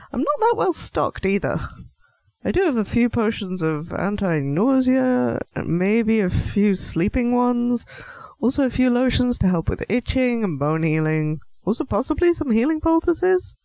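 A man's voice: high voice, gravelly, a little mellow, no echo, full character voice. A man playing a clumsy, nervous half-elf alchemist shopkeeper in a fantasy audio roleplay, stammering, apologetic and politely chatty. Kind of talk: scripted fantasy audio roleplay addressed to the listener.

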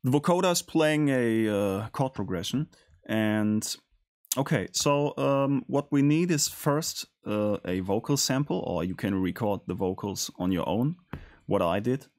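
Low voiced guy doing a casual studio tutorial, bit gravelly, kinda halting. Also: expressive, a little mellow. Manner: hesitant, halting delivery. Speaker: tutorial host. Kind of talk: casual screen-share music production tutorial. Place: indoor music studio.

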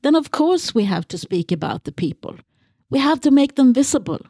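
A woman's voice: sits high, sing-song, fairly quick, warm tone, no room sound, studio quality. A woman hosting a podcast, speaking in a conversational interviewing style. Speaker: podcast host.

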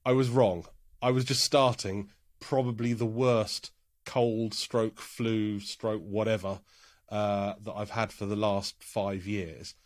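A man's voice: on the low side, steady tone, slow pace, no room sound. A man co-hosting a casual podcast, still stuffy and congested from a bad cold. He chats and jokes with easy fillers, close and dry to the mic. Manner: chatty, joking, trails off with fillers. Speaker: podcast co-host. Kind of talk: casual chat.

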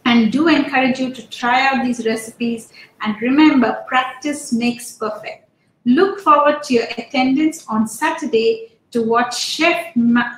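A middle-aged woman hosting a webinar over a video call, speaking in a measured, polite and formal way. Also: high-pitched, reverberant, rough recording. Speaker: webinar host. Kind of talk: closing remarks. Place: home study with bookshelves.

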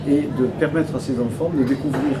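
A man in his fifties explaining in an interview, measured and hesitant, often pausing mid-phrase. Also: medium pitch, fairly even intonation. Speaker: restaurant owner interviewee. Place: indoor restaurant room. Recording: loud ambient noise behind.

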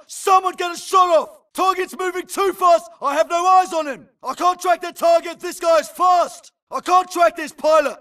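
Video game soldier, very high strained pitch, bright forceful tone, clipped battle callouts, urgent and aggressive. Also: male voice, recorded from a distance. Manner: clipped, barked military radio phrasing. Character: hard-pressed male infantry soldier, aggressive and urgent.

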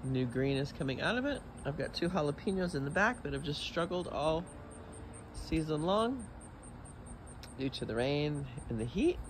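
A man with a Texan accent giving a relaxed, chatty tour of his backyard garden, filmed on a phone as he walks. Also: moderate pace. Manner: relaxed, chatty, lively rises in pitch. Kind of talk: casual walking garden tour. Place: outdoors in a suburban backyard garden. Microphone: phone camera held while walking. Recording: outdoor phone audio with clear background noise.

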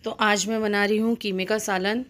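Woman, Indian accent, home cook, casual recipe narration, mid-pitched, little variation in tone. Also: little pitch movement, moderate pace, fairly bright tone. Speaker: home cook and vlog host. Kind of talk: recipe voice-over narration, casual step-by-step explaining.

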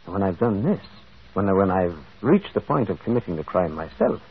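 A male actor playing a gentle, shrewd priest detective in a radio mystery, musing and hesitant, often repeating words. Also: sits low, big pitch swings, a little fast, breathy edge, exaggerated voice. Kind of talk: vintage radio mystery acting. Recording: dull, muffled old radio recording.

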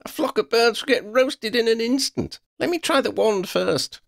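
A man's voice: very high voice, lively intonation, moderate pace, gravelly, fairly bright tone, voice pushed up, heavily acted. A man voicing an earnest, fiery young woman, a witch in a storybook, pleading and emphatic. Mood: urgent, exasperated.

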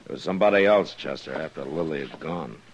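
An actor playing a calm, resolute frontier marshal who also narrates, in a 1950s radio drama. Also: male voice, quick pace, a little hiss or hum. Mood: steady. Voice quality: deep, steady, grave. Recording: old radio broadcast with music.

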